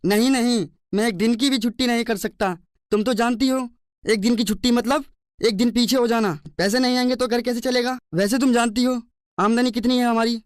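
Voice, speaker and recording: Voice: medium pitch, steady tone, fairly quick, clear, resonant tone, no room sound, clean studio sound, natural voice. A performer voicing a poor, compassionate and stubborn hardworking husband in a cartoon. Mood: stubborn, weary. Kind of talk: cartoon dialogue.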